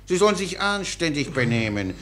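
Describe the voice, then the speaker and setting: An actor playing a good-natured, naively cheeky soldier servant in a German radio play, deadpan, servile and impudent at once. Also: male voice, fairly high pitch, a little fast, echoey room, crowd noise. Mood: deadpan cheek.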